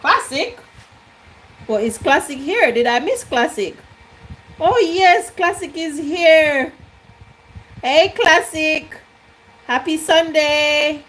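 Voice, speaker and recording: Slow-talking playful woman cooking live. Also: sits high, animated, a bit bright, poor audio. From Jamaican heritage. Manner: playful, teasing, joking with chat. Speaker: cooking livestream host. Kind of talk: casual live cooking chat, reading out viewer comments. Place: home kitchen.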